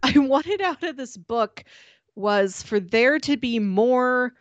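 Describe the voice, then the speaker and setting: A woman co-hosts a relaxed book podcast, her voice a touch high and sitting close and dry on the mic. She chats along, laughs easily and drops into quick little quoted lines. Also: dry recording, highs cut off. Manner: chatty, laughs often, quick quoted asides. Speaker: podcast co-host. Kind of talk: casual book discussion.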